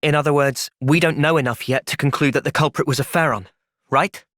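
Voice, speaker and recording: A man voicing a thoughtful, loyal young hero in a video game, with an earnest, measured delivery. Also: on the high side, steady tone, fairly bright tone, dry recording, studio quality, lightly acted. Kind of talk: video game cutscene voice acting.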